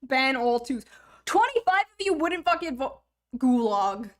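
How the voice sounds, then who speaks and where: A woman's voice: high-pitched, sing-song. A woman in her thirties chatting casually on a livestream, teasing her viewers, swearing freely and laughing often. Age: 30s. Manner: teasing, profane, quick to laugh. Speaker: streamer.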